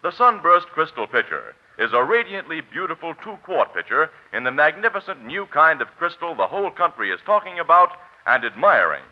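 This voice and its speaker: A man's voice: fairly high pitch, very animated, brisk. An American man announcing and reading sponsor copy in a polished, declamatory 1940s radio style. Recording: muffled vintage radio recording, music behind.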